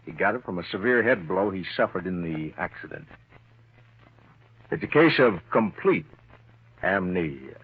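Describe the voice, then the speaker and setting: A thin, narrow old radio recording carries a low, husky lawman. He is shrewd and sure of himself, speaking with deliberate, assertive pressure in classic crime drama style. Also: expressive. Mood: coolly triumphant. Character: shrewd, confident male government lawman pressing a crook.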